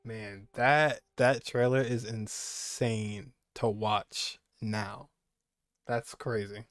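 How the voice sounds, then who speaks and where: A man's voice: lowish voice, steady tone, very slow, a bit bright, no room sound, studio quality. A young man giving casual, rambling reaction commentary as a gaming streamer, repeating phrases for emphasis.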